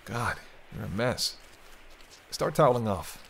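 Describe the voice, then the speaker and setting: A man's voice: medium pitch, measured pace, gravelly. A man playing a sardonic, teasing vampire in an intimate roleplay, talking in a conversational, bantering way with dry sarcasm. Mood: exasperated, fussing. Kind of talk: intimate audio roleplay monologue. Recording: steady hiss and rain behind. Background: rain.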